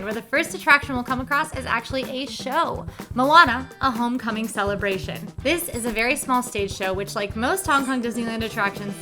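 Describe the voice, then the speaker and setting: A young woman reviewing theme park rides in an enthusiastic, chatty way with playful asides. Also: a little high. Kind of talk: upbeat ride review. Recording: music bed under voice.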